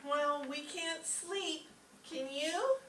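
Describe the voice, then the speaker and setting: A woman's voice: high voice, extremely slow, fairly bright tone, heavily acted. A woman playing a dim-witted, earnest and literal-minded young woman in an old-time radio comedy. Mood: puzzled. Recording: echoey room, distant mic, faint noise.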